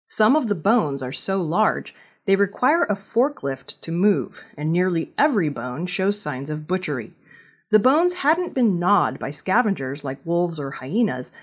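A woman reading scripted news narration as a newsreader, with a slightly husky voice. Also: on the low side. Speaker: news reader.

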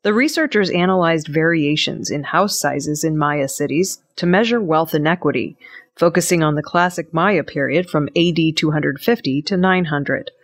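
A woman reading scripted news narration as the presenter of an audio news programme. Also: low-pitched. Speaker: news reader.

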